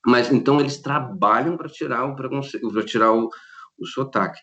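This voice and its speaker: A middle-aged Brazilian man talking in an interview, animated and quick to laugh, often restarting his sentences. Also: medium pitch, highs cut off. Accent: Brazilian Portuguese. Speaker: interview guest, lighting designer. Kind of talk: relaxed conversational interview.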